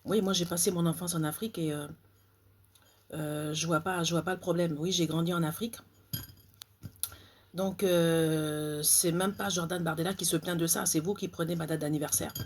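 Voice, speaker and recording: Husky low-voiced woman from Côte d'Ivoire. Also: darkish tone. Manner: indignant, hesitant, many fillers and repeated phrases. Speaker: author. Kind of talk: direct-to-camera vlog rant.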